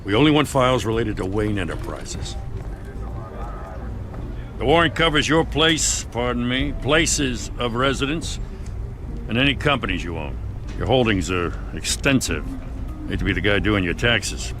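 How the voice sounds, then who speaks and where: An actor playing a dutiful, weary police lieutenant in a video game, gruff but fair, with wry, folksy phrasing. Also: male voice, mid-range voice, animated, moderate pace, pauses often, background noise, natural voice. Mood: matter-of-fact. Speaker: police officer. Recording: dramatic game music behind.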